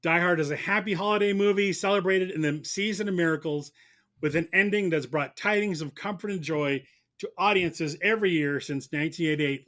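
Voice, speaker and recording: High-voiced man making a theatrical courtroom speech. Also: fairly bright tone. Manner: declamatory, mock-solemn. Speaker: mock-trial lawyer on a podcast.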